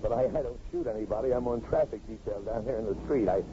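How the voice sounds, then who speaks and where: An actor playing an earnest, down-to-earth New York traffic cop in a 1950s radio drama. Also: male voice, medium pitch, quick pace, close to an everyday voice. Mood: earnest pleading. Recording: muffled, hissy 1950s radio recording.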